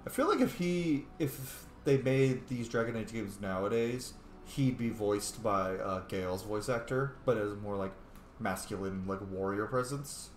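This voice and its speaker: A man's voice: fairly low pitch, lively intonation, a little slow. A man giving casual, playful and opinionated commentary while roleplaying through a video game, swearing freely. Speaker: game streamer. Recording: roomy echo, clear background noise.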